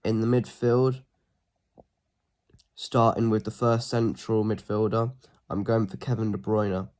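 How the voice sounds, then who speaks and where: Guy with a cold, bit sniffly, lowish voice, lots of ums, casual football chat on his own. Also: unhurried. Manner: relaxed, lots of ums, trails off quietly at ends of phrases. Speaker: football fan channel host. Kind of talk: casual solo preview talk.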